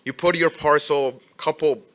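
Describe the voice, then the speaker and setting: A man's voice: fairly high pitch, steady tone, fairly bright tone. Earnest immigrant man testifying. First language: not English. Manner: earnest, halting, many restarts. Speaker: letter carrier giving testimony. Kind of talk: testimony at a public hearing.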